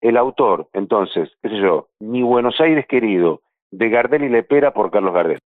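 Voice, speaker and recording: A man's voice: lowish voice, lively intonation, moderate pace, no echo. A radio announcer, a man, recalling and explaining things conversationally, correcting himself as he goes. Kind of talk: conversational recollection. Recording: dull dry lo-fi sound.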